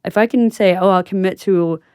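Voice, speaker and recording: A woman's voice: mid-range voice, brisk, a little mellow, smooth, no echo, studio quality. A woman hosting a podcast in a casual, chatty interview style with informal, conversational phrasing. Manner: informal and chatty, frequent "like" and "you know". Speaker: podcast host.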